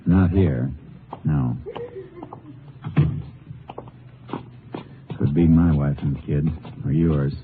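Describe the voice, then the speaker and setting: A man playing a grim, steady Texas Ranger in an old radio western, speaking tersely and matter-of-factly. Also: extremely low pitch, slow pace. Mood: subdued. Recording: tinny, noisy old radio recording.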